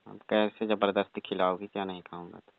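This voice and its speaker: A man plays a reluctant young village repairman in a comic call skit. His voice is deep, and he grumbles and refuses in a colloquial way. It sounds dry and narrow, like a phone recording. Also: little pitch movement, brisk, creaky, dry recording. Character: reluctant, exasperated young village electrician fending off advances.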